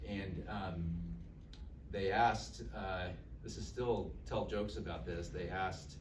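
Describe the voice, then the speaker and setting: A man in his fifties from Dallas, Texas, giving a campaign speech, telling his story in a relaxed way with lots of fillers and self-deprecating jokes. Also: deep voice, slow pace. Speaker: political candidate. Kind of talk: campaign speech to a local group. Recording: echoey room, mic far off, noisy.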